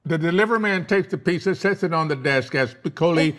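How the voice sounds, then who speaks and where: A man's voice: on the high side, fairly quick, fairly bright tone. A man reading out stage directions as narrator of a live script table read.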